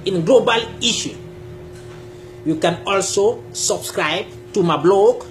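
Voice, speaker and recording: Slow, emphatic man. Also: high voice, soft background music. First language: Bassa. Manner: deliberate, emphatic, gestures a lot. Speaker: YouTube creator. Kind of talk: direct-to-camera talk on spirituality. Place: small indoor room, seated on a sofa.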